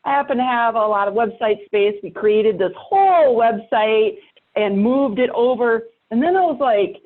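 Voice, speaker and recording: A woman's voice: fairly high pitch, lively intonation, normal speed. A woman talking casually and emphatically as a podcast guest, in colloquial conversational style. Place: home workspace studio with sound panels. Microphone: microphone with preamp, over online call. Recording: thin phone-like online call audio.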